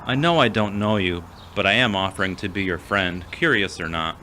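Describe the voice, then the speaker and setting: A man acting as a well-meaning, self-doubting guy in an audio drama. His voice is fairly low but forward and bright. He talks plainly and mildly, over faint noise. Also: moderate pace. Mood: gentle, reassuring.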